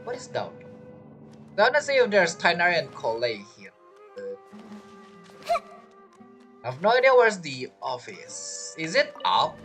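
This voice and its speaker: Rambling game streamer, lively pitch. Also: a little slow, pauses often, faint music behind. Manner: rambling, thinking aloud. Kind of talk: casual live gameplay commentary.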